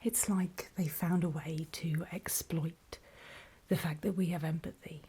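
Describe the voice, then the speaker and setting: A woman playing a wary, paranoid zombie apocalypse survivor in a horror ASMR roleplay, in a soft breathy whisper with hesitant, confiding delivery. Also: sits low, fairly even intonation, exaggerated voice. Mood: hushed, wary warning. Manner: slow, hesitant, confiding, with pauses.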